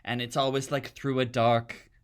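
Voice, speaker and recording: A man's voice: medium pitch, flat delivery, moderate pace, clear, resonant tone. A man who is a theatre artist, chatting casually as a podcast guest and thinking aloud through long explanations. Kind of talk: casual interview chat.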